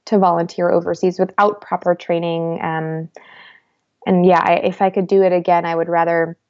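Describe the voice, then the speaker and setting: Young woman, twenties, interview guest. Thoughtful, halting, lots of fillers. Lowish voice, muffled recording. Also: vocal fry, a little mellow. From United States. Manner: thoughtful, hesitant, many fillers and restarts. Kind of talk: reflective conversational interview.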